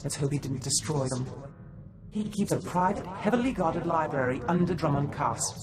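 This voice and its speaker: With prominent music behind him, a sly, scholarly male Sith played by an actor speaks in an articulate, theatrical way. His pitch swings expressively through the lines, as in a dramatic video game cutscene. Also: lively intonation, normal speed, natural voice. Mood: measured, sly.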